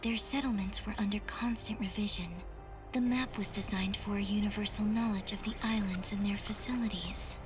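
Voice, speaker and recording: A woman voicing a solemn, wistful female artificial intelligence in a video game, speaking in a soft, breathy, formal and measured way. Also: medium pitch, steady tone, natural voice. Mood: calm, explanatory. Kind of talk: scripted video game cutscene acting. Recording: music under the voice. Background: game music.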